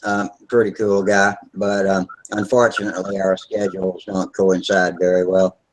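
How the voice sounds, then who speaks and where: An older man in his sixties with a low voice rambles in a relaxed, folksy way over a video call. A faint hiss sits under his voice. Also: moderate pace, rough recording. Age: 60s. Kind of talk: casual video hangout chat.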